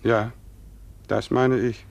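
An actor playing a grave, stubborn farmer husband who is convinced of doom, in a 1950s German radio play. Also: male voice, medium pitch, level tone, takes it slowly, vocal fry. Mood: gloomy. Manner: grave, resolute.

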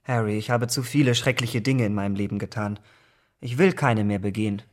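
A man acting a troubled, impulsive young English gentleman in a German radio drama. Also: on the low side, fairly quick, lightly acted. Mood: confessional.

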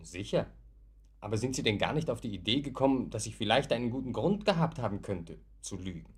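Husky, self-assured male visitor. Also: fairly low pitch, animated, a little fast. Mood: cool, challenging. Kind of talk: German radio play acting.

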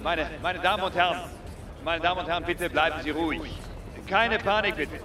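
A man's voice: fairly high pitch, a little fast, natural voice. An actor playing a male government minister giving an emphatic political speech in a radio drama. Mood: shaken, calming. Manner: oratorical, emphatic, repeats phrases for effect. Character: male politician, interior minister, rousing stump speaker. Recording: roomy outdoor PA, distant, music and crowd behind.

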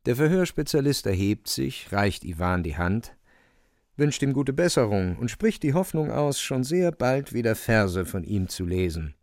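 A man's voice: medium pitch, sing-song, a little slow, a little mellow, no room sound, clean studio sound. A man narrating a radio play from a script, a wry storyteller with colloquial asides.

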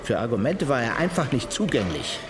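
An actor playing a talkative, cynical musical producer in a radio crime drama, telling things expansively. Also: male voice, mid-range voice, very animated, fairly quick, background noise, close to an everyday voice. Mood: scornful.

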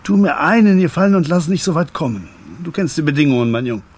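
A man playing a calm, paternal loan shark in a German radio drama, measured, condescending and politely threatening. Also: on the high side, husky. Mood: regretful threat.

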